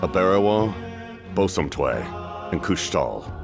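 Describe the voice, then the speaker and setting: A man playing an ancient, cursed, masked warrior captain in a video game, solemn and haunted, speaking in a grave, formal, measured way. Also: big pitch swings, over music, full character voice. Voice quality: very deep and gravelly. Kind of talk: video game character acting.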